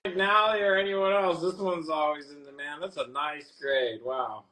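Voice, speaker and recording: A man's voice: on the high side, fairly even intonation, normal speed, sharp tone, poor audio. A man co-hosting a live comic sale who cracks jokes and reads out viewers' offers and names. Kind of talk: casual live sales chat.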